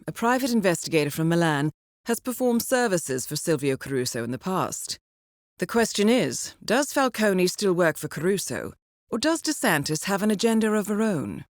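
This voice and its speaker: An actress playing a composed, dryly witty intelligence handler in a video game, briefing an assassin in a formal, understated, ironic manner. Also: female voice, medium pitch, very animated, moderate pace, no echo, studio quality, lightly acted. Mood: composed, businesslike. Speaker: mission handler.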